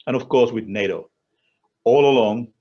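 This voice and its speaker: A man from Finland with a Finnish accent delivers a formal policy speech as an official, in deliberate, emphatic short phrases. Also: mid-range voice, breathy edge, muffled recording. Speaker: European Commission official speaking at a conference.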